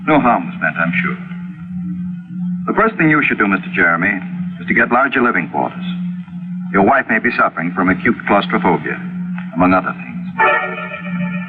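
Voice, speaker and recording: An actor playing a blunt, probing doctor with a formal clinical manner in an old-time radio mystery. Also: male voice, sits low, expressive, moderate pace, pauses often, music in the background. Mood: clinical, measured. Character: blunt, probing little male doctor with sharp eyes. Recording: tinny, noisy vintage radio recording.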